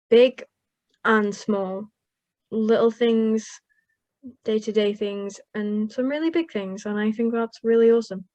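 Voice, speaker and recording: Young woman from Wales, Welsh first language, earnest and upbeat, mid-pitched, close dry recording. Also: darkish tone, no echo, muffled recording. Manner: earnest, upbeat, laughs often. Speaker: young carer guest. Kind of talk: interview answers.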